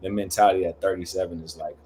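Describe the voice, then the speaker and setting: A man in his late thirties with a low voice talks casually as a podcast guest. He explains his thoughts reflectively, with some ambient noise behind him. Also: steady tone, fast talker, darkish tone. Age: late 30s. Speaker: podcast guest, hybrid athlete.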